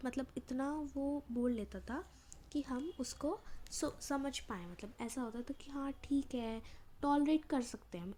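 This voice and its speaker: A young woman teaching English, who reads each line of a story slowly and then explains it at length. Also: high voice, mellow, a little hiss or hum.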